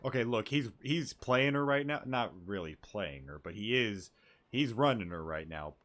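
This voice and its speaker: A man's voice: mid-range voice, lively intonation, normal speed, fairly bright tone. A man streaming a game, reacting in casual off-the-cuff commentary, with game music behind his voice.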